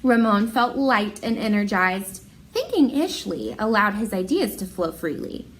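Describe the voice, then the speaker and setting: A woman teacher with an expressive, encouraging manner, reading a picture book aloud and talking directly to young pupils. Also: mid-range voice, unhurried, a little hiss or hum.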